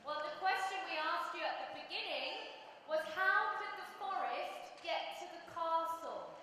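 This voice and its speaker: Young woman, twenties, very high bright voice, animated and theatrical, distant, echoey hall. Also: measured pace, slight background noise. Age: 20s. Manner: lively, theatrical, exclamatory. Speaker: actor in a children's play.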